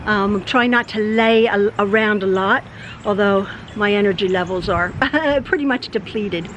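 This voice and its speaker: An older woman recording a casual vlog in her backyard, speaking with a hoarse, tired voice as she rambles with many fillers and laughs. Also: moderate pace, fairly bright tone, a little hiss or hum. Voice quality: high voice. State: hoarse, tired after illness. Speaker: channel host. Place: outdoors in a backyard. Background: birdsong.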